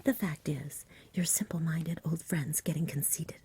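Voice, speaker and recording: A woman voicing a practical, mildly exasperated knight from a storybook in a breathy, matter-of-fact whisper. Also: sits low, fairly even intonation, unhurried, dark tone, full character voice. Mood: firm, exasperated. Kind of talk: whispered storybook character reading.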